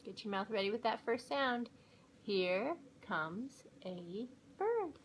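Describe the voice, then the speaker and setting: A woman reading aloud slowly to young children like a teacher, with a deliberate, coaching and animated manner. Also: on the high side, slight background noise. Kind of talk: slow read-along for young children.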